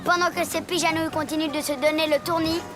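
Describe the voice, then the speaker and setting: High-voiced, boastful young ninja villain. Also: female voice, little pitch movement, fast. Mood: gloating. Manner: taunting, commanding. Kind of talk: French cartoon dub acting. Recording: loud music and crowd noise behind.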